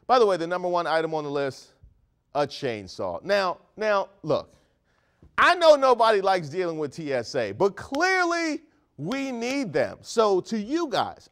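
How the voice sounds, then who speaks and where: A man in his thirties hosting a comedic news monologue on a studio set, animated and mock-outraged with jokey asides. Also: sits high. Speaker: host.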